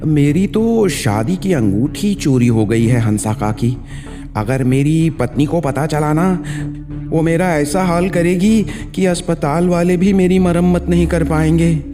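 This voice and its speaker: Woman playing henpecked village husband, cartoon, worried, pleading, lowish voice, music behind. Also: unhurried. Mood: anxious. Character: anxious village husband afraid of his wife.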